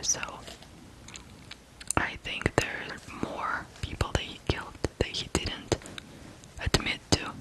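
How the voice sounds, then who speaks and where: An ASMR host from Ukraine telling a true crime story in a hushed, deliberate whisper. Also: low-quality recording.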